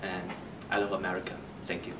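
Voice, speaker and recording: A man's voice: low voice, monotone, brisk. A teenage male exchange student from Beijing with a Chinese accent, introducing himself to camera. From Beijing, China. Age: teens. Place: indoor school room. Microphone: camera mic at a distance. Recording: roomy, distant mic, noisy background.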